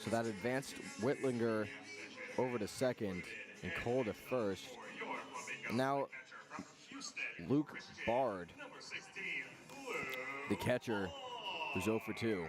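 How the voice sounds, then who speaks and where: A man's voice: fairly low pitch, slow, pauses often, music in the background. Male baseball radio play-by-play announcer. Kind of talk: live baseball play-by-play commentary.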